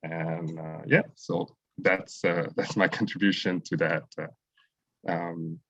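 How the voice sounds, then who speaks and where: A man speaking as a panelist, halting and hesitant with many fillers. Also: low-pitched, fairly even intonation, gravelly, a bit bright, breathy edge, no echo. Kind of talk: panel talk. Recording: dull, dry sound.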